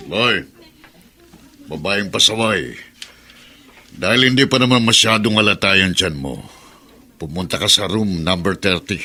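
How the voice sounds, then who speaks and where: A man's voice: low voice, lively intonation, unhurried, pauses often, bright, forceful tone, slightly rough, faint music behind, exaggerated voice. A man playing a greedy, menacing villain in a Tagalog radio drama, taunting and bullying. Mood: mocking, bossy. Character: greedy menacing male villain, threatening and mocking.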